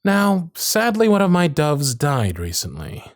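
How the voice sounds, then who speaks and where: Showy stage magician, played by a man, higher-pitched and very animated, grand theatrical phrasing, audio roleplay. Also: no echo, studio quality. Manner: grand projected patter, drawn-out dramatic phrasing. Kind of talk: ASMR roleplay stage performance.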